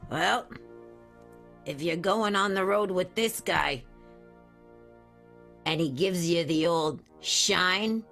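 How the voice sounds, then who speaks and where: A woman voicing a gruff old vaudeville trouper in an improvised radio play, with a drawling, gravelly voice. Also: lowish voice, slow pace, pauses often, fairly bright tone, soft background music, heavily acted. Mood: wry.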